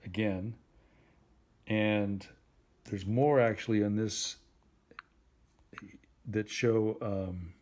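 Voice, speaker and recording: Male instructor, lowish pitch, plain and deliberate, basic step-by-step tutorial delivery. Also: steady tone, a little mellow. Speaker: instructor helping a phone user. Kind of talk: simple step-by-step spoken tutorial.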